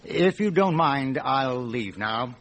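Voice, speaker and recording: A man's voice: medium pitch, expressive, slow, fairly bright tone. A man playing a talkative, obliging local police inspector in a radio drama, explaining things in detail with slight hesitation. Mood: obliging, explanatory.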